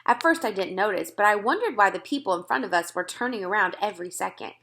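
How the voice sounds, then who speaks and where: Bright-voiced woman reading a storybook. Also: mid-range voice, lively intonation, fast talker, gravelly. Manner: acts out dialogue. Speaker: read-aloud narrator. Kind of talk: expressive children's storybook narration.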